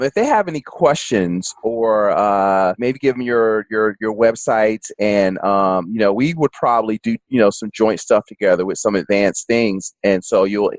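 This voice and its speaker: A man's voice: fairly low pitch, no room sound. A man hosting a finance podcast, talking in a folksy, rambling way with lots of fillers. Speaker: podcast host. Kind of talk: casual interview chat.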